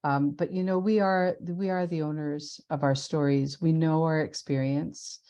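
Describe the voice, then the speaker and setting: A woman leading a writing workshop on a video call, speaking thoughtfully with pauses and fillers, whose first language was an Italian dialect. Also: low voice, fairly even intonation, dark tone. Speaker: workshop facilitator. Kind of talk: casual group talk on a video call.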